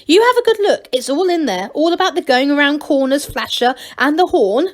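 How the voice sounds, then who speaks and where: An eager young gnome adventurer from a storybook, acted by a woman. The voice is high and leaps upward with proud, urgent enthusiasm. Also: expressive, fairly quick.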